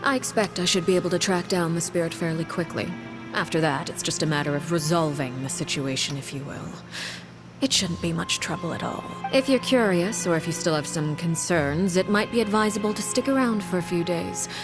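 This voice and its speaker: A woman playing a cool, quietly menacing leader. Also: lowish voice, close to an everyday voice. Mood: calm. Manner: measured, formal, quietly menacing. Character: cool, composed woman heading an orphanage and a shadowy organization. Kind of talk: video game voice acting. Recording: game audio with faint music.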